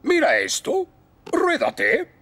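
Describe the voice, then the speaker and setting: An actor with a Latin American Spanish accent playing an older, self-important, excitable fire station chief in a children's cartoon dub. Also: male voice, very high voice, takes it slowly, heavily acted. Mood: smug. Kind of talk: animated children's series dub. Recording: loud music behind.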